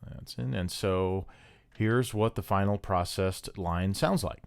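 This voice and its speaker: A man's voice: low-pitched, takes it slowly, vocal fry, no room sound, studio quality. A man casually explaining an audio production technique in a tutorial style.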